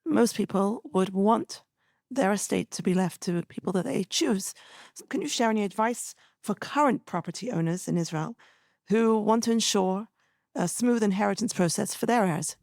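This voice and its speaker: A woman hosting a podcast interview, hoarse from losing her voice, steering the talk with guided questions. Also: mid-range voice, moderate pace, a little mellow, no echo, studio quality. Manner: conversational, asks guided questions. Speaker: podcast host.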